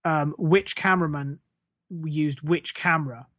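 A man's voice: fairly high pitch, fairly even intonation, unhurried, fairly bright tone, no echo. An English man hosting a podcast in a casual comic rant, sweary, scornful and sarcastic. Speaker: podcast host.

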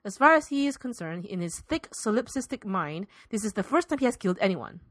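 A woman co-hosting a comedy podcast with a dry, deadpan manner. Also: mid-range voice, a little fast, no room sound. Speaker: podcast co-host. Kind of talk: scripted narration and casual comedy chat.

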